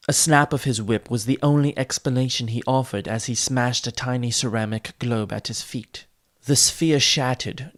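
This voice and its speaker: Man narrating dark fantasy theatrically. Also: mid-range voice. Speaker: audiobook narrator. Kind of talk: dramatic scripted fantasy narration.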